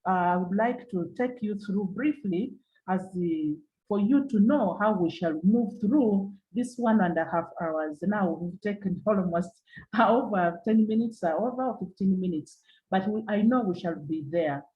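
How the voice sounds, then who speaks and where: A woman from Uganda with a Ugandan English accent, giving formal opening remarks as a webinar moderator over a video call, halting and repeating her points. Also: mid-range voice, narrow, tinny audio.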